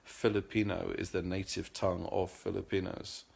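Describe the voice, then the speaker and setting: A man's voice: low-pitched, flat delivery, moderate pace, creaky, darkish tone, slightly rough. A middle-aged man talking earnestly in a casual vlog monologue, often repeating words as he searches for the next one. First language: English. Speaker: vlogger.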